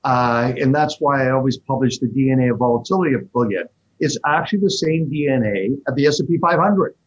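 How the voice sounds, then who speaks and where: A man being interviewed, explaining investments in quick, animated bursts with frequent fillers. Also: medium pitch, dull audio, slight background noise. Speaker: fund manager guest. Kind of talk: interview answers.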